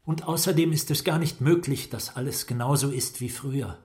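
An actor playing a sick, gloomy writer in a radio drama, subdued, weary and resigned. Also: male voice, medium pitch, a little fast, a little mellow, lightly acted.

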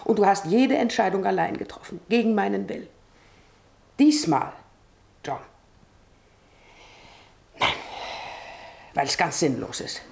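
A woman's voice: medium pitch, very animated, unhurried, gravelly, long pauses. A woman acting in a German radio drama as a practical, long-suffering middle-aged wife, pleading and reproaching insistently. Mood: bitter, defiant.

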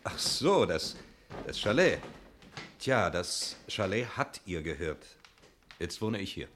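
An actor in a 1960s German radio play, playing a breezy, talkative stranger who is glib, jovial and evasively polite. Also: male voice, low-pitched, very animated, slow, fairly bright tone, slight background noise, exaggerated voice. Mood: jovial, defensive. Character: breezy, talkative male stranger claiming to own the chalet.

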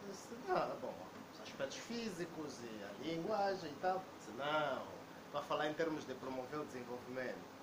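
A man's voice: medium pitch, expressive, normal speed, few pauses. A man, joining a public debate with a hesitant, anecdotal way of speaking. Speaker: debate participant. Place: debate hall indoors. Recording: echoey room with background noise.

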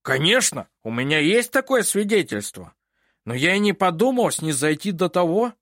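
A man's voice: high voice, very animated, moderate pace, a bit bright, no echo, clean studio sound, pitched above the performer's own voice, exaggerated voice. A man voicing a haughty, furious older male judge in an audiobook, barking and blustering. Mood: raging.

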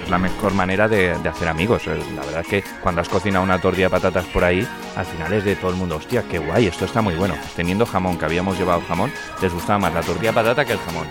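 A man with a deep voice chats at a very rapid pace. He is colloquial and keen, as in a casual anecdote, with music clearly behind him. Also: steady tone.

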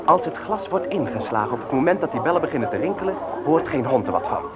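An actor playing a cocksure, bossy young ringleader in a radio play, instructing with firm emphasis. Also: male voice, fairly high pitch, expressive, fast, a loud crowd, lightly acted. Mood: confident, instructing.

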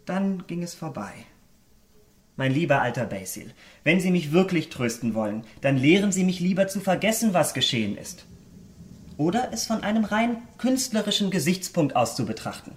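An actor playing a vain, callous young aesthete in a German radio drama, eloquent and theatrical. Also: male voice, high voice, very animated, moderate pace. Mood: impassioned. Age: young adult.

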